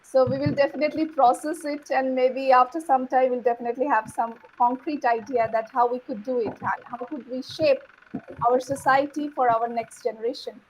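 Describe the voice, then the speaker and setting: A woman's voice: high voice, fairly even intonation, brisk, darkish tone, low-quality recording. A woman with a Bengali accent, a native Bengali speaker, moderating an online session with gracious, upbeat remarks. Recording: faint background noise.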